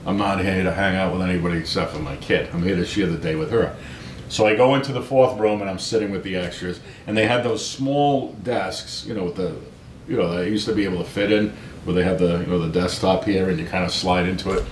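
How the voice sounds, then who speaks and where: Low-voiced middle aged american guy telling stories in an interview, chatty, echoey room w some rumble. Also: quick pace. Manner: self-deprecating, chatty, peppers talk with 'right?' and 'you know'. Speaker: author interviewed as guest. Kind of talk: casual anecdotal storytelling in an interview. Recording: roomy echo, low rumbling background noise.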